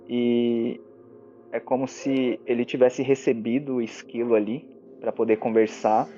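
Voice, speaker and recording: A man's voice: medium pitch, little pitch movement, unhurried, mellow, dull audio, a little hiss or hum. A man with a Brazilian accent describing his character's actions as a player in a tabletop game. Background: background music.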